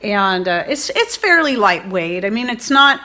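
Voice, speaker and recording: A woman's voice: mid-range voice, sing-song, unhurried, pushed and bright. A woman giving a casual product review as a video host, in a chatty, informal, rambling way. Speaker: host of a homesteading and preparedness video channel. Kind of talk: casual product review vlog, talking to viewers.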